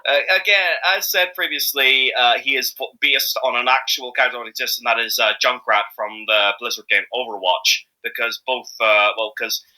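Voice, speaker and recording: A man speaking as an amateur voice actor being interviewed, chatting casually in a jokey, halting, rambling way. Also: mid-range voice, pushed and bright, rough recording.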